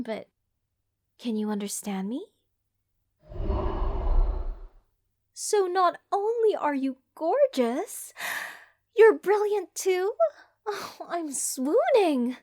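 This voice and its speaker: A woman's voice: high voice, darkish tone, heavily acted. A woman playing a gushing, eccentric mage besotted with cursed creatures, in a rambling, whimsical fantasy ASMR roleplay addressed to the listener. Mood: excited, gushing. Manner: slow, rambling, doting, theatrically whimsical. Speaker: voice actor.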